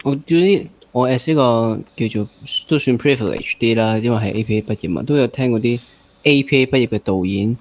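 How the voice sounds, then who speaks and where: A man's voice: mid-range voice, a little fast. A young man with a Hong Kong Cantonese accent hosting a conversational interview, mixing English words into his speech. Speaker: podcast host.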